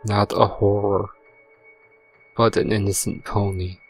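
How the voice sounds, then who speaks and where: A man playing a guilt-ridden stallion confessing in prayer, in a sombre, measured, remorseful way for a dramatic fan fiction reading. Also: fairly low pitch, level tone, soft background music. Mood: haunted, grieving.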